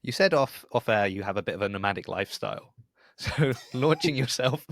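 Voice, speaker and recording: A man's voice: fairly low pitch, animated, a little fast, creaky, no room sound. A middle-aged man hosting a podcast in a casual, chatty interview style. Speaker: podcast host.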